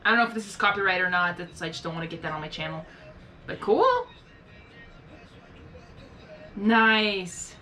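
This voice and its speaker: Bright-voiced lady rambling through a live reaction, kinda fumbling for words. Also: mid-range voice, very animated, moderate pace, pauses often. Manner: chatty, rambling, searching for words. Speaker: reaction channel host. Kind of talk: casual live reaction commentary.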